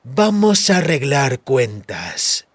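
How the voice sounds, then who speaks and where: A man's voice: medium pitch, sing-song, takes it slowly, no echo. A man voicing a cruel, vengeful male henchman mage who sneers and threatens in a fantasy audiobook. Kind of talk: dramatized fantasy audiobook acting.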